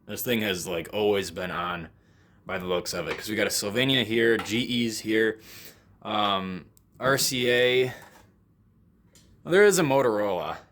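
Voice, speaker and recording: A man's voice: on the low side, measured pace, long pauses, a bit bright. A man giving casual, unscripted, hands-on commentary as a vlog host, rambling and self-deprecating, recorded in a basement workspace. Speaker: host of an electronics repair vlog.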